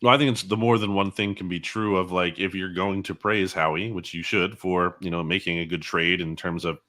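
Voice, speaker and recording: A man's voice: low-pitched, steady tone, brisk, pushed and bright. A man giving conversational, opinionated sports commentary as an interview guest, often qualifying his points. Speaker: guest football analyst.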